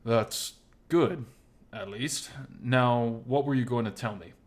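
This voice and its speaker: A man playing a calm, protective male bar owner in an audio story, speaking in a gentle, reassuring manner. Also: mid-range voice, slow pace, natural voice. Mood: friendly, probing. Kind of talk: dramatized audio story dialogue.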